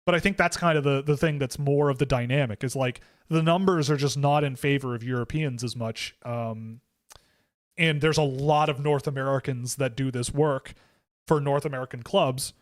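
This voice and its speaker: A man's voice: medium pitch, steady tone, normal speed, dry recording, studio quality. A man hosting a livestream, talking casually and rambling through chat questions, with lots of filler words and restarts. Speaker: host of a hockey draft livestream.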